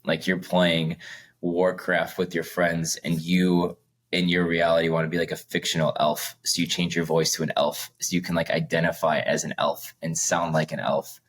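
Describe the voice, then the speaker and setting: A man's voice: deep voice, level tone, normal speed. A young man co-hosting a podcast, rambling casually with lots of fillers and laughs between points. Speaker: podcast co-host. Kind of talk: casual chat.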